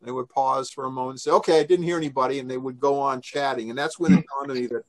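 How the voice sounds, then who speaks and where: A man's voice: mid-range voice, quick pace, poor audio. An older man giving an informal, explanatory briefing and answering questions over a video call. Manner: explanatory, conversational. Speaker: presenter taking questions. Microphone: video call microphone.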